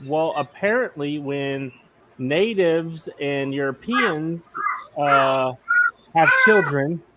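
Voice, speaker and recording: A man's voice: fairly high pitch, animated, takes it slowly, noisy background. A middle-aged man hosting a casual live chat, joking and laughing often as he talks. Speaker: livestream host.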